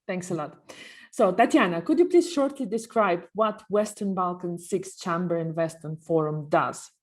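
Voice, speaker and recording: A woman's voice: fairly low pitch, moderate pace. A woman hosting a podcast, introducing and moderating in a presenter's scripted style. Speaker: podcast host. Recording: music behind the voice.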